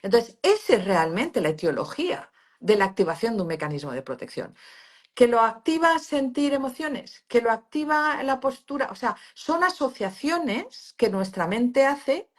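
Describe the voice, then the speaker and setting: A woman's voice: fairly high pitch, a little slow. A middle-aged woman with a Spanish accent from Spain, whose first language is Valencian, explaining pain therapy as an interview guest in an emphatic, teacherly way. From Valencia region, Spain. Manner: emphatic, expressive, teacherly, with many fillers and restarts.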